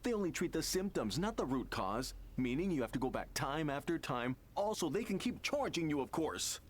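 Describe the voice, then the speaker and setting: Condescending, animated game townsman. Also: on the high side, moderate pace, slightly rough. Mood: smug. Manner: condescending, formal. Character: scornful, smug male townsman devoted to a guru. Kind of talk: video game voice acting.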